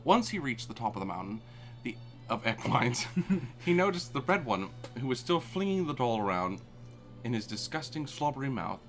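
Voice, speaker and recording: A man narrates fan fiction in a hammy, dramatic way for a live audience. His pitch swings widely as he reads. He often stops to laugh and swear, and faint music plays underneath. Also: very animated, normal speed. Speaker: fanfic reader. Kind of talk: comedic live fanfic reading.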